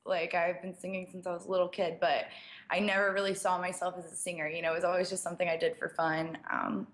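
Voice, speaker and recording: A young woman with a slight rasp, answering fan questions in a loose, chatty way. She fills her talk with "like" and small laughs, and sits a touch lower in pitch than most women. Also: little pitch movement, fast, slight background noise. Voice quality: slightly raspy. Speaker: DJ and producer. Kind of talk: casual live-stream Q&A, reading viewer questions.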